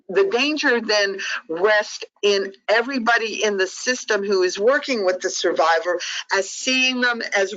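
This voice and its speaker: A woman whose first language is Portuguese, giving an earnest, conversational training talk to advocates and often checking in with her listeners. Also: medium pitch. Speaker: trainer for sexual assault advocates. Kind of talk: training lecture. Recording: dull lo-fi recording.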